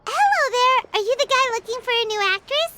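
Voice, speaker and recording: Tiny fairy sidekick, very high-pitched, animated, third-person chatter, loud background music. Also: female voice, brisk, exaggerated voice. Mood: chipper. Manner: bubbly, talks about herself in the third person. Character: tiny floating female fairy-like travel companion, chipper. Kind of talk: video game voice acting.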